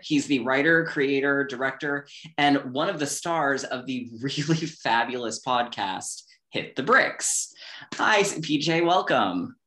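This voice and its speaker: A man's voice: mid-range voice, bright, forceful tone. A man co-hosting a podcast, interviewing a guest in a conversational style.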